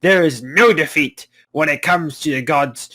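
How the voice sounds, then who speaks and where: A man voicing an arrogant, menacing god in a fantasy table read, with a grandiose, taunting and deliberate delivery. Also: fairly high pitch, sharp tone. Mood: menacing, gloating.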